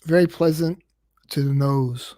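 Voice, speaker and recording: A man's voice: fairly high pitch, fairly even intonation, measured pace, mellow. Man, livestream host, casual chat, rambling, stuttering repeats.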